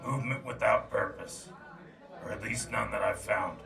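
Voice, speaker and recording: A defiant, sardonic soldier in a military audio drama. The voice is fairly low, gravelly and sharp, dry and clipped, set back from the mic in a roomy space. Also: male voice, moderate pace, distant mic, very noisy. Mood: grim, terse. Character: defiant, world-weary male soldier, sardonic and stubborn.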